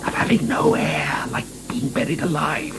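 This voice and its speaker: An actor playing an imprisoned French marquis in a radio drama, an imperious, mocking, obscene libertine with a theatrical, grandiose delivery. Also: male voice, medium pitch, sing-song, normal speed, in a whisper. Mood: stifled, defiant. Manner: theatrical, grandiose, chuckling, swinging into shouts. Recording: echoey stone-room radio drama.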